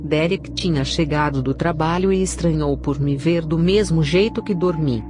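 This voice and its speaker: A woman giving a scripted narration of a religious testimony in a solemn, measured way. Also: low voice. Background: background music.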